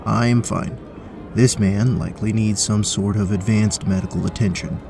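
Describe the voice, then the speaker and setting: A man's voice: lowish voice, moderate pace, gravelly, over music. A man playing a stoic, dutiful paladin in a fantasy audio drama, terse and formal. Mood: stoic, grave.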